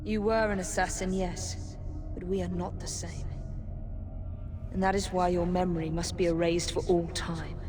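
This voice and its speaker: An actress playing a resolute female master assassin in a video game, speaking gravely and with defiance. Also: lowish voice, little pitch movement, moderate pace, over music, lightly acted. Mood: solemn, subdued. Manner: grave, solemn, emphatic. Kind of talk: dramatic video game acting.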